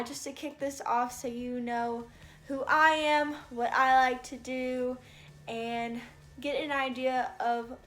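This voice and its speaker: A woman's voice: high voice, fairly bright tone. A bubbly, chatty young woman in her twenties with an American accent, talking casually to camera on her vlog. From United States. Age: young adult. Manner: bubbly, chatty, laughs often. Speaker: vlogger.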